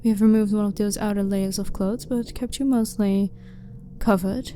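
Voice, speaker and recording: Female alien scientist acted by a woman, blunt and clinical yet harmless, very soft dark tone, ASMR sci-fi roleplay over noticeable background ambience. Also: mid-range voice, steady tone, natural voice. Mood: calm, polite. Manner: blunt, formal, matter-of-fact, measured. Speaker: ASMR voice performer. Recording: clearly noisy ambient background.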